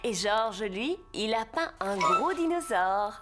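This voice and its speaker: A woman voicing a gentle, encouraging gazelle schoolteacher in a children's cartoon, with music underneath. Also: medium pitch, sing-song, slow. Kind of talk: preschool cartoon voice acting.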